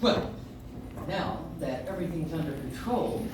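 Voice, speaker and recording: A woman's voice: low-pitched, animated, measured pace. A woman playing a gushing, theatrical publicity woman in a 1940s-style radio play, recorded at a distance in an echoey room. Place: coffee house stage, recorded from audience. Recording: echoey room from afar, clear noise.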